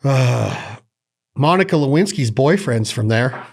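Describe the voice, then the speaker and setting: A man hosting a podcast, with a voice of middle pitch for a man. He rambles and jokes at his own expense in an easygoing, chatty way. He talks into a podcast microphone. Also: lively intonation, measured pace, gravelly, slightly rough. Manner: rambling, joking, self-deprecating. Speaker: podcast host. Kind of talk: casual chat.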